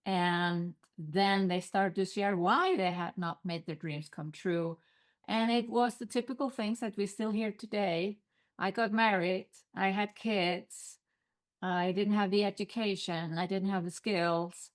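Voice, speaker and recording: A woman's voice: medium pitch, fairly even intonation, a little slow. A middle-aged woman with an Icelandic accent, a guest telling her story in an earnest way on a podcast interview. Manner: earnest, storytelling, occasional non-native phrasing.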